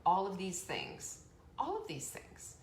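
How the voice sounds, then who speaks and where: A woman hosting a live online motivational show, chatty and energetic, laughing often and reading out viewer comments. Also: medium pitch, slow pace. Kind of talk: motivational live-stream talk. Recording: echoey room with faint background noise.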